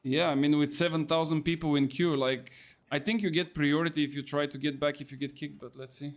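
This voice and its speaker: A young man streaming a game, excitably commenting, repeating words and calling people bro. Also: fairly high pitch, fairly quick. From Bulgaria. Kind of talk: casual gameplay commentary.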